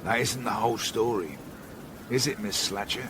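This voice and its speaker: An actor playing a gruff, sardonic older chief inspector with a British accent in a radio drama, talking in a blunt, patronising way. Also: male voice, on the low side, normal speed, background noise, natural voice. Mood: accusing.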